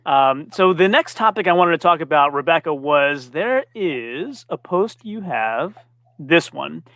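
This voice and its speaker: A man's voice: fairly high pitch, expressive, a little slow, sharp tone, dry recording, studio quality. A man hosting a live-stream interview, friendly and conversational. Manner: friendly, conversational, laughs easily. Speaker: interview host.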